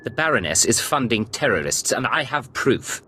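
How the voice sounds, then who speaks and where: A man's voice: medium pitch, very animated, normal speed, sharp tone, a little hiss or hum. An actor playing a cool, resolute imperial intelligence agent in a video game, composed and dry in his delivery. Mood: firm, defiant. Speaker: player character in a video game. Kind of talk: scripted game dialogue.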